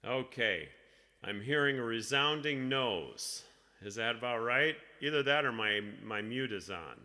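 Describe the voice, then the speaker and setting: A man leading a choir rehearsal in a casual, halting, self-deprecating way, with a slightly crackly voice. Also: mid-range voice, expressive, bright, forceful tone, long reverb tail. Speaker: choir director. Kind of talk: casual rehearsal instruction over a video call.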